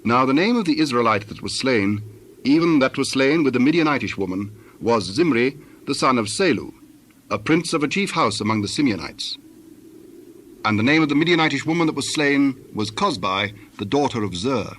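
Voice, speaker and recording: Measured male Bible narrator over faint ambience. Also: medium pitch, expressive, a bit bright, a little hiss or hum. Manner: measured, formal delivery.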